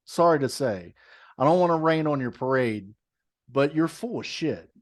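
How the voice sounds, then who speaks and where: A man's voice: on the high side, animated, normal speed. Ranting, profane man on a podcast. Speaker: podcast co-host. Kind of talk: casual chat.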